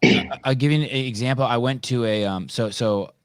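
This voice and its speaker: A man from California hosting a podcast in casual conversation, telling animated stories and swearing freely. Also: medium pitch, moderate pace, smooth, dry recording. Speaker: podcast host.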